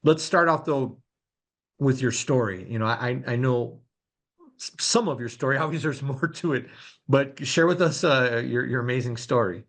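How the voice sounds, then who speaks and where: A man's voice: medium pitch, moderate pace, fairly bright tone. A man hosting a podcast, reading sponsor thanks and introducing his guest in a loose, filler-heavy way. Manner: loose, many fillers and restarts. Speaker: podcast host.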